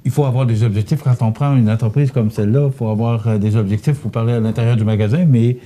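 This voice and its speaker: A man with a Québécois accent hosts a local TV segment in a mellow, dark-toned voice. He chats easily with his guest, friendly and loose, stumbling over repeated words now and then. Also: mid-range voice, fairly even intonation, fast talker. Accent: Québécois French. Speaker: host of a local TV cooking segment. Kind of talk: casual TV interview.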